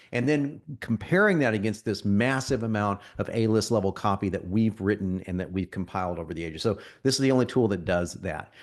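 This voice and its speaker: A man getting over a cold, presenting a live marketing software demo to an audience in a conversational, salesy way, often restarting his sentences. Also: lowish voice.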